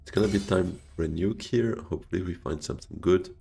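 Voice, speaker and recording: A man's voice: low-pitched, quick pace, gravelly, warm tone, a little hiss or hum. A Swiss man, streaming a board game live and thinking his moves through aloud to himself and his chat. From Switzerland. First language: French.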